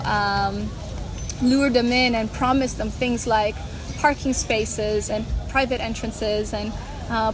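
A woman, giving thoughtful interview answers full of ums and uhs. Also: a little high. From Canada. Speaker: guest, urban mobility guide. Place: outdoors on a city street. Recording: street noise with passing vehicles.

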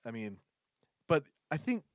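A man's voice: fairly high pitch, sing-song, measured pace, dry recording, clean studio sound. A man co-hosting a casual podcast chat, laid-back, laughing often and trailing off mid-sentence. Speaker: podcast co-host.

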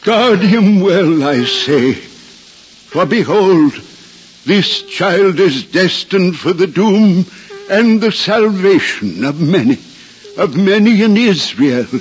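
A husky, aged holy man declaims with solemn fervor and great slowness. It is 1950s radio drama acting, heard on a dull old recording with faint music behind. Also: sits high, animated, heavily acted. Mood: grave warning. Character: aged devout male prophet, solemn and fervent.

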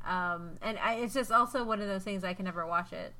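A woman's voice: mid-range voice, fairly quick, pushed and bright. A woman hosting a casual podcast, chatty and enthusiastic with frequent ums as she talks through facts about an anime. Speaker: podcast host.

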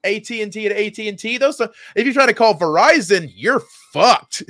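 A man with a bright, slightly high voice in a dry recording, talking as a podcast co-host. He rambles in a casual, joking way, restarting his thoughts and swearing now and then. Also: expressive, moderate pace, no room sound. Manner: rambling, self-interrupting, profane. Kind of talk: casual comedic chat.

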